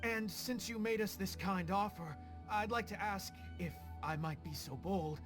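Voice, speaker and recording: An earnest small-time rogue, keen to please and humbly deferential. His game-character delivery is excitable yet unsure of itself, with music underneath. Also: high-pitched, a little slow. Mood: pleading, grateful. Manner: earnest, fawning, excitable, hesitant. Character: eager, deferential male rogue seeking to go straight. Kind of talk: video game voice acting.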